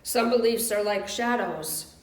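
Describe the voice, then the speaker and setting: A woman's voice: mid-range voice, unhurried. Woman reading in an echoey room. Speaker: church service speaker. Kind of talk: measured scripted reading at a church service. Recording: echoey room, distant microphone.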